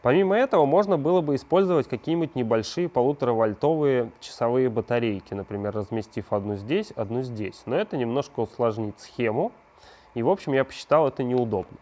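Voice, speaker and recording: Guy doing a prop-making tutorial, medium pitch, chatty explaining, asks the viewer questions as he goes. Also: lively intonation, quick pace, mellow. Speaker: craft channel host.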